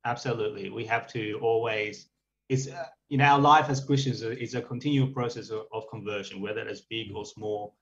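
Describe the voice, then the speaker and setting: A priest in his forties, born in Vietnam and raised in Australia, speaking haltingly with many fillers as a podcast guest. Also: lowish voice, steady tone, a bit bright. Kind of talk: podcast interview. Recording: dull lo-fi audio.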